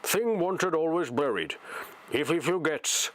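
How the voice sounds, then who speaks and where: A man voicing a grave old male wizard, measured and deliberate, for a storybook reading. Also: on the high side, expressive, fairly bright tone. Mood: wry, grumbling.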